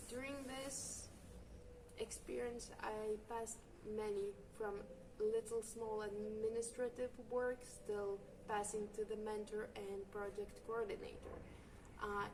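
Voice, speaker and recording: Young woman, distant noisy mic. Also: medium pitch. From Lithuania. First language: Lithuanian. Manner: fluent, thoughtful, non-native phrasing. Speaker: volunteer project coordinator. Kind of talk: reflective interview answers. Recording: distant mic with noticeable background noise.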